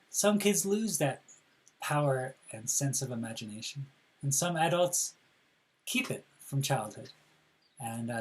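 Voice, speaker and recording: Male storyteller for young kids, slow and deliberate, with lively melodic swings and a direct, teacherly address. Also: medium pitch, slightly rough. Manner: addresses children directly, deliberate, animated phrasing. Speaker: school counselor reading a picture book to children. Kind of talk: expressive children's read-aloud.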